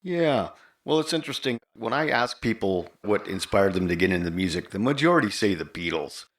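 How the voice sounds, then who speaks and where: A man's voice: fairly low pitch, lively intonation, fairly quick. An older man hosting a conversational interview. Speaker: podcast host interviewer.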